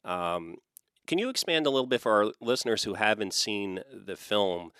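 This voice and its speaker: A man hosting a film podcast, reading a scripted introduction and then asking measured questions. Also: lowish voice, big pitch swings, a bit bright, clean tone, no echo, studio quality. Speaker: podcast host.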